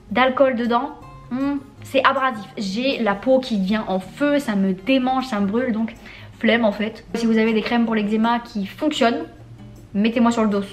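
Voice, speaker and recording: A young woman from France talking candidly in a casual vlog, using slang and laughing often. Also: on the high side. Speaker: vlogger.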